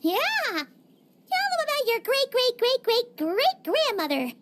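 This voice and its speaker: A woman voicing a tiny, excitable female fairy-like companion in a game cutscene, over game music. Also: extremely high pitch, takes it slowly, exaggerated voice. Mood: chatty. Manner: bubbly, excitable. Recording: game music playing underneath.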